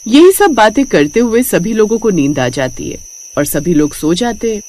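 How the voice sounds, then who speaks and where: A woman narrates a scripted cartoon tale with a very animated, medium-pitched delivery. Faint ambient noise sits behind her storytelling. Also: moderate pace.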